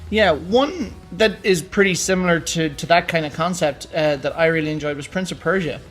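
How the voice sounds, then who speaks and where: A man's voice: fairly high pitch, moderate pace, fairly bright tone, faint music behind. A man co-hosting a casual podcast, chiming in with short replies and laughing easily. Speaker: podcast co-host. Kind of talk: casual chat.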